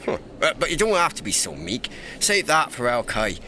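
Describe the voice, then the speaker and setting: A man's voice: medium pitch, wildly swooping pitch, normal speed, sharp tone, slight background noise. A man playing a young, mischievous and irreverent emperor in a fantasy audio drama, with a theatrical, teasing, breezy delivery. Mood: relaxed, friendly. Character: young playful irreverent male emperor, secretly informal and mischievous.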